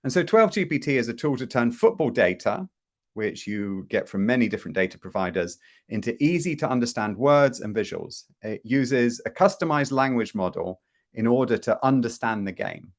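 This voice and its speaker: A man's voice: medium pitch, animated, moderate pace. A middle-aged man hosting a live product demo from home over a video call, talking casually with many fillers and restarts. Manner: chatty, with frequent fillers and restarts. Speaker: host presenting a product demo. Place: home room.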